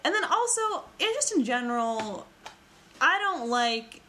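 A woman's voice: on the high side, big pitch swings, a little slow, fairly bright tone. A young woman co-hosting a casual comedy podcast, crude and joking, often laughing as she talks. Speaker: podcast co-host.

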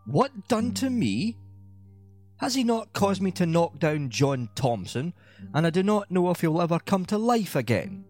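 A man doing a shrill, furious lady. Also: very animated, measured pace, darkish tone, soft background music, heavily acted. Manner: shrill and indignant.

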